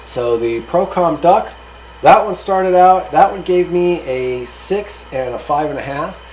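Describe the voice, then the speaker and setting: A man's voice: a little high, lively intonation, a little slow, darkish tone, slight background noise. A man hosting a hobby gear review, giving a casual, unscripted rundown in a rambling, matter-of-fact way. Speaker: hobbyist video host reviewing radio gear.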